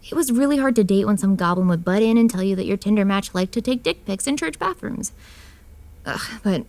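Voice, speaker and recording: A woman's voice: medium pitch, darkish tone. A young woman playing a sardonic loner mortician in an audio drama, with chatty asides to the listener. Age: young adult. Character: wry young woman mortician, loner who sees monsters. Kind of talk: first-person audio drama narration and dialogue.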